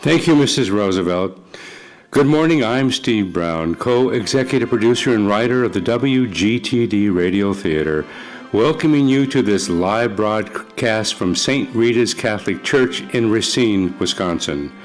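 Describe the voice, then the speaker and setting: Male host, live broadcast announcer, lowish pitch, slight music behind. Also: lively intonation, measured pace. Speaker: host, producer of radio theater. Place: church before a live audience.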